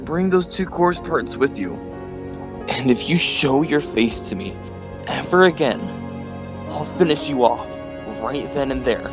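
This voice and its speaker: An actor in an anime-style fan dub playing a desperate, bitter young male rider, speaking in emotional, halting phrases. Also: medium pitch, expressive, over music, lightly acted. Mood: cold, threatening. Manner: emotional, halting, drawn-out delivery.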